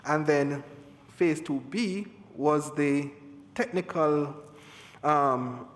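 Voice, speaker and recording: A man, an official explaining things to a committee in a halting way, repeating words, in a reverberant space. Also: fairly high pitch. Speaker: government official. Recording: reverberant hall.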